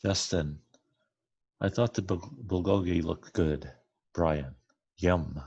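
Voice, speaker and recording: A man's voice: low voice, slow, gravelly, darkish tone, husky, dry recording, lo-fi sound. A man reading a children's picture book aloud. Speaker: read-aloud reader.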